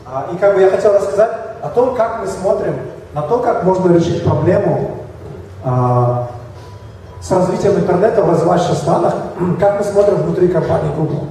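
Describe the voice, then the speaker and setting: A man with a Kyrgyz accent, slightly hoarse from a cold, giving a halting conference talk with slides. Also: sits high, warm tone, a little hiss or hum. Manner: halting, many fillers and restarts. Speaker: conference speaker. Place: large echoey hall. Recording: echoey hall from far off.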